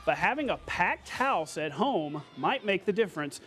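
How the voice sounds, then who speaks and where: High-pitched American male sportscaster. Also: very animated, normal speed, over loud music. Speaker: sports anchor. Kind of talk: scripted local TV sportscast narration.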